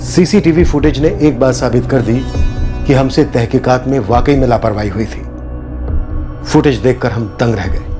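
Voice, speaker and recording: An actor playing a serious, deliberate senior police inspector in a TV crime drama. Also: male voice, medium pitch, over music, natural voice. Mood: grave. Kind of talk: TV crime drama acting and narration.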